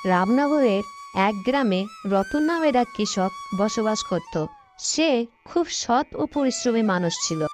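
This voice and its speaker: A woman's voice: a little high, slow pace, faint music behind. A woman narrating a cartoon folktale in a scripted storytelling manner. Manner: storytelling, expressive.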